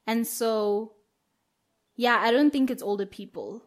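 Young woman, podcast co-host, chatty, laughs a lot, somewhat high voice. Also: a little slow. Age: young adult. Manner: chatty, laughs often, many fillers. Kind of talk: casual chat.

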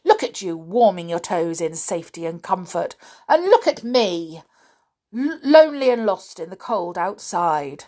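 Woman doing a mischievous male hobgoblin, wheedling, teasing, high and sing-song. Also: very animated, unhurried, a little mellow. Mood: indignant. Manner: sly, coaxing, teasing.

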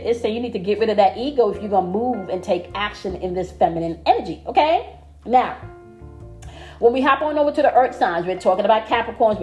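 A woman's voice: medium pitch, mellow. A woman giving a casual daily tarot reading, blunt and profane, checking in with "okay?" after nearly every point. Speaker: tarot reader.

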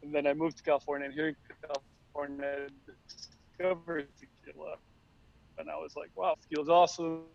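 Halting Costa Rican-born young man. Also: on the high side, little pitch movement, gravelly, a little mellow. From Costa Rica. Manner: halting, many restarts and repeated words. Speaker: tequila company founder. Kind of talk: casual interview answers. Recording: dry, slightly dull sound.

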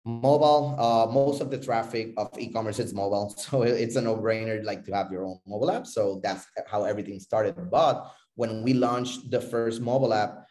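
A man with a Puerto Rican accent answering interview questions about his business, halting and repeating words as he searches for phrasing. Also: lowish voice, a little mellow. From Puerto Rico. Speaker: interview guest, tech company CEO. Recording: roomy, echoing voice recording.

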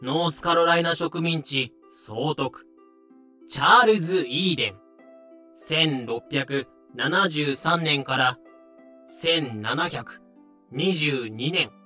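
A man's voice: a little high, lively intonation, takes it slowly, bright, forceful tone, faint music behind. A man narrating a scripted audio drama in a clipped, announcing manner. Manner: clipped, announcing dates and titles.

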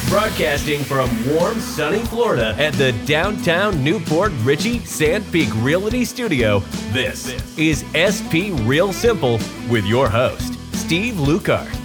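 A man reading a slow, drawn-out announcer intro for a realty podcast. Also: a little high, sing-song. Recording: country guitar music under the voice.

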